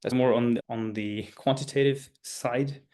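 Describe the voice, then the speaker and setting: A man's voice: medium pitch, steady tone, unhurried, warm tone. A man, a guest speaker, answering questions in a webinar and often searching for his words. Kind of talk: webinar Q&A explanation. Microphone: video call.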